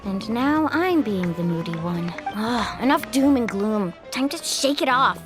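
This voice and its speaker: Young female game character: expressive, heartfelt, casual, a shade high, over music. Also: measured pace. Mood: softening, rallying.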